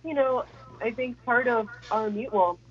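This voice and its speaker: A woman guest with a head cold, her voice raspy and hoarse, chatting casually with lots of fillers. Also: fairly high pitch, moderate pace, lo-fi sound. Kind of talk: casual radio chat.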